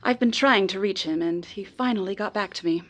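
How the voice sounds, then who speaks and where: A woman playing a polite, earnest informant in a noir radio drama, speaking in a measured, precise way. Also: mid-range voice, a bit bright, close to an everyday voice. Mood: earnest, serious. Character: polite, earnest female informant helping a detective.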